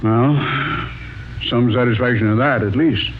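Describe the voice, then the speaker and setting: An actor playing an exasperated boss in 1940s radio drama, emphatic, blustery and folksy. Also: male voice, medium pitch, unhurried, close to an everyday voice. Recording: muffled, noisy 1940s radio recording.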